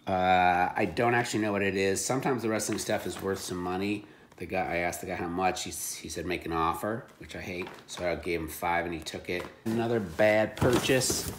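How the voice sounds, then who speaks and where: Low voiced guy casually chatting about thrift finds, wry and jokey, bit of background noise. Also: a little fast, echoey room. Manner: offhand, wry, joking. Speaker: reseller showing secondhand finds. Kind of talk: casual chat.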